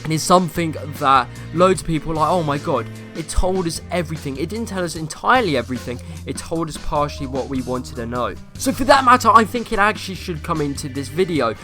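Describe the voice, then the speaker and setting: A young man YouTuber with a slightly croaky voice, rambling through casual gameplay commentary and asking viewers questions. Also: fairly high pitch, lively intonation, fast talker, few pauses, over music. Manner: rambling, self-correcting, asks viewers questions.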